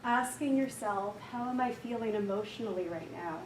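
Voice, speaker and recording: A woman counsellor with a quiet voice leading a slow, gentle guided meditation for a workshop audience. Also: mid-range voice, a little hiss or hum. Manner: soft, slow, gentle and unhurried. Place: large echoey room with an audience. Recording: echoey room recorded from far off.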